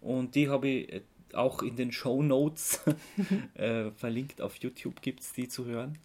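A man with an Austrian accent co-hosting a podcast, explaining things casually in a somewhat halting way. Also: medium pitch, a little mellow. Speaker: podcast co-host.

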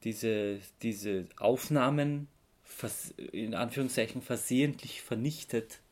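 A man with an Austrian accent co-hosting a podcast, explaining things casually in a somewhat halting way. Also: mid-range voice, darkish tone. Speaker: podcast co-host.

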